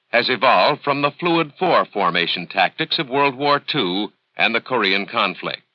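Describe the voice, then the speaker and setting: Deep American narrator, dull vintage film sound. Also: male voice, dry recording. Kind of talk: formal scripted instructional narration. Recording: dull, dry 1970s training film soundtrack.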